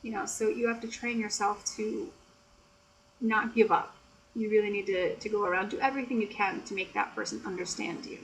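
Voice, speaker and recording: An American woman chatting softly and explaining things in a casual, teacherly way, with frequent "you know". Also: mid-range voice, fairly even intonation, brisk, a little hiss or hum, rough recording. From United States. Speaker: ASMR creator and English tutor. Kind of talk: soft-spoken ASMR chat.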